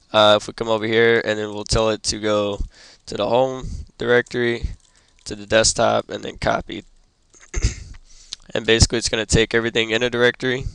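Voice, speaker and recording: A young man who is sick and losing his voice, hosting a casual computer tutorial and stopping often with ums and throat clearing. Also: on the low side, fairly bright tone, dry recording. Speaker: tutorial host. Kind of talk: casual screen-recorded tutorial.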